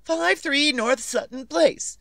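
An actress playing a nervous, demanding, well-to-do invalid wife in old-time radio suspense style, imperious and melodramatic. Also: female voice, sits high, takes it slowly, gravelly, sharp tone, no echo, heavily acted. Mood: agitated, urgent.

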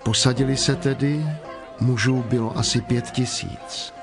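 A man narrating scripture as a measured storyteller from a script. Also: medium pitch, fairly even intonation. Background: prominent music.

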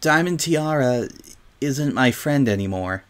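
A man voicing a young, prim pony girl who is defensive and vulnerable, speaking haltingly in a storybook. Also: mid-range voice, expressive, smooth, lightly acted. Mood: tearful, hurting. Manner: hesitant, halting. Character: haughty young filly turned sad, defensive, vulnerable.

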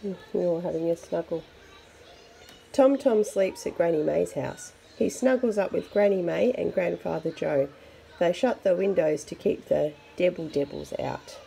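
A woman reads a picture book aloud for young children, expressive and chatty with her listeners. Her voice is mellow, with noticeable creak at its edges. It is a simple home recording with faint background noise. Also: on the low side, normal speed, rough recording. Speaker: storybook reader for children.

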